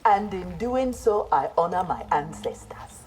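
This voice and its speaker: A woman's voice: lowish voice, lively intonation, measured pace, slight background noise, poor audio. An actress playing a proud, principled young ninja warrior woman in a fighting game, with a firm, clipped, earnest delivery. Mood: defiant, resolute. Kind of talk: dramatic fighting game dialogue.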